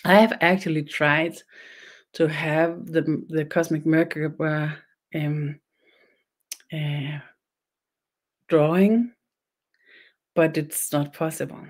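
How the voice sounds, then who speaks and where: Woman with Danish accent, low voice, slow halting delivery, webinar host. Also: darkish tone. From Denmark. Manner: halting, pauses often, repeats words. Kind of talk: slow meditative teaching.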